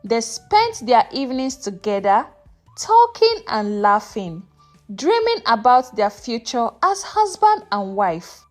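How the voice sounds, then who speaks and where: A woman reading a scripted folktale as a storyteller, in an expressive, dramatic way. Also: fairly high pitch.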